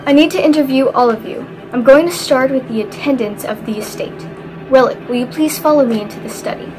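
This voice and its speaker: A woman's voice: high voice, a little fast, lightly acted, poor audio. A woman playing a businesslike, inquisitive detective in a radio play, with formal, firm phrasing. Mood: commanding. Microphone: video call. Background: faint music.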